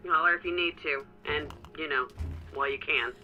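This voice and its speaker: A woman acting a brisk, blunt female security deputy in an audio drama, sardonic and familiar with her colleagues. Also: deep voice, lo-fi sound, soft background music, natural voice. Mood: brisk, watchful. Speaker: supporting character, control-room colleague.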